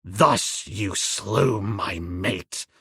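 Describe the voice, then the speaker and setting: A man doing a grim, low-voiced jungle avenger. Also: no room sound, studio quality. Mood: hissing. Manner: slow, low, grim speech. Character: stern wild jungle man, grave avenger.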